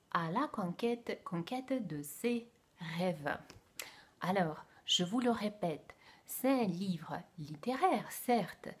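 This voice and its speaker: Slow, breathy Russian-accented woman. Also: medium pitch. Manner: careful, hesitant, with soft whispered asides. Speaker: book reviewer. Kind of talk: casual book review to camera.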